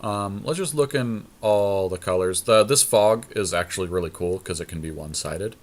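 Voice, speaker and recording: Male podcast co-host, lowish voice, informal thinking-aloud commentary during a card game draft. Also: moderate pace.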